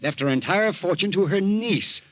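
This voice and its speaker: An actor playing a scheming ex-lawyer who talks in an insinuating, menacing way, in old radio acting. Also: male voice, high-pitched, expressive, normal speed. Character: scheming sly male ex-lawyer. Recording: dull old radio recording.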